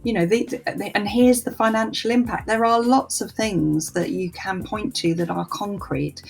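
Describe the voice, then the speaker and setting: A woman speaking as an interview guest in a thoughtful discussion, explaining things in a measured, structured way. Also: mid-range voice, fairly even intonation, creaky, a little mellow, faint music behind. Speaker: interview guest, senior product director.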